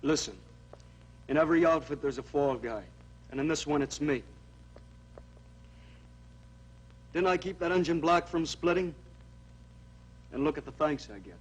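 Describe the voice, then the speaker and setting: A man's voice: a little high, normal speed, long pauses, husky, low-quality recording. An actor playing a self-pitying young army corporal who insists he is the fall guy, in an aggrieved, self-justifying manner. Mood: defensive. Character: sulky young male soldier, self-pitying shirker. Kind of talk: 1960s educational film acting.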